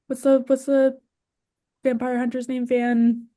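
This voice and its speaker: A woman speaking as a podcast guest, chatting casually in an informal, expressive way. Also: sits high, a little slow, no room sound, clean studio sound.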